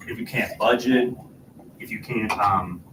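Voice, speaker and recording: A man's voice: lowish voice, unhurried, a bit bright, a little hiss or hum. A young man from Rensselaer, New York, on a youth panel, speaking thoughtfully with some hesitation. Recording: echoey room, distant mic.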